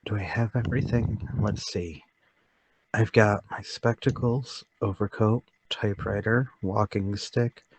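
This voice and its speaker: Man playing a timid, low-voiced nervous lodger. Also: steady tone, measured pace, slightly rough, no echo, poor audio. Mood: quietly excited. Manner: hesitant, repeating himself, polite. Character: timid, nervous middle-class man lodger, secretly excited. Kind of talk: radio-play table read.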